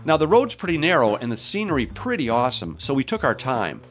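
A man's voice: mid-range voice, very animated, quick pace, soft background music. A middle-aged American man hosting a travel show with upbeat narration and a folksy, joking way of talking. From United States, Michigan. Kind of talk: upbeat scripted narration and interviewing.

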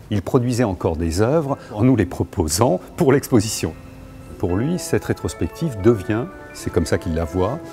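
A middle-aged man, a museum curator, explaining an exhibition in a measured, articulate, lecturing way, recorded on a lapel mic in a large gallery. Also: on the low side, a little mellow. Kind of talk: explanatory art commentary.

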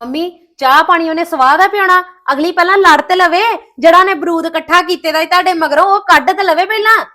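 An actress playing a quarrelsome young daughter-in-law in a family drama, accusing and argumentative. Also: female voice, extremely high pitch, fairly quick, hardly any pauses, pushed and bright. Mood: taunting.

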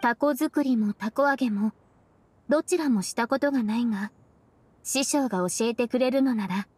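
A woman voicing a cool, stoic, blunt woman in an anime-style game, deadpan and measured, with archaic wording. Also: fairly high pitch, husky. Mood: cool, earnest.

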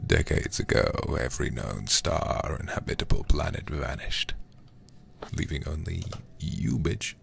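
A man streaming a horror game in a soft, intimate ASMR style close to the microphone, muttering and sometimes whispering. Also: extremely low pitch, animated, unhurried, gravelly. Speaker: livestreamer playing a horror game.

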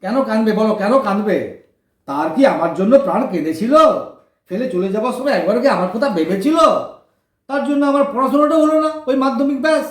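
An actor playing a brash but warm-hearted orphaned street lad in his twenties, with a Kolkata Bengali accent and slangy, breezy talk in an audio drama. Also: mid-range voice, lively intonation, fast talker, roomy sound, lightly acted. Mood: bitter. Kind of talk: colloquial audio drama acting.

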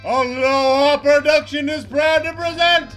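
A man voicing a grandiose television movie announcer in a video game, loud and showy. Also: very high voice, fairly even intonation, unhurried, faint music behind, voice pushed up.

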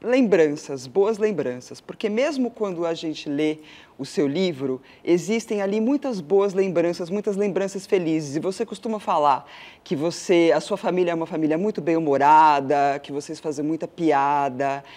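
A woman's voice: sits low, brisk, dark tone. A woman with a Brazilian Portuguese accent, a journalist in a television studio, asking a long question with many fillers and repetitions. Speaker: journalist interviewer.

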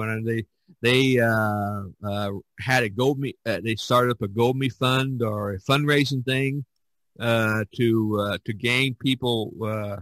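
Man, podcast host, casual chat, middle pitch, halting with fillers, dry close sound. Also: a bit bright, no echo, rough recording. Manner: halting, many fillers and restarts. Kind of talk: casual conversation.

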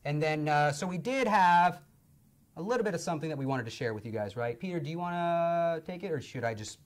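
Young male stream host, a bit hoarse, upbeat, lapel mic in a studio. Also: on the high side, expressive, a little fast. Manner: upbeat, filler-heavy presenting. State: hoarse from long talking. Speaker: livestream host. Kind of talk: casual game-show chat. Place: indoor studio set.

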